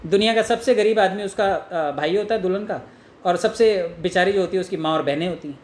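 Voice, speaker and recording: A man's voice: high-pitched, fairly quick. A man from India giving informal sales coaching in an earnest, explanatory manner, acting out example calls and asking rhetorical questions. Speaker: network marketing trainer. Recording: echoey room with faint noise.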